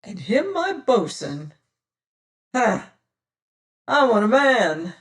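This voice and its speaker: A reader voicing a menacing male pirate captain who mocks his crew and barks orders loud and slow. Heard from a distance, with a slightly raised pitch. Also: big pitch swings. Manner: taunting, commanding, drawn-out menace. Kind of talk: audiobook character voice.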